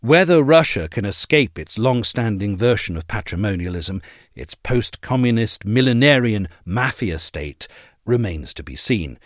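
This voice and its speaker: A man reading a scripted essay as narrator, in a measured, articulate, formal manner. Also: on the low side, big pitch swings, dry recording, clean studio sound.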